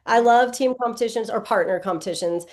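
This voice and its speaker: A woman's voice: a little high, fast talker. A woman in her forties answering interview questions casually, rambling and correcting herself as she goes. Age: 40s. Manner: rambling, self-correcting, lots of "um" and "like". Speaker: guest athlete.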